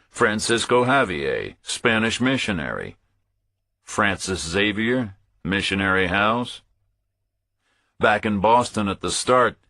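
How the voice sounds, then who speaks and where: Low, bright-voiced man narrating a thriller. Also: no echo, clean studio sound. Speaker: audiobook narrator. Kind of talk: scripted first-person thriller narration.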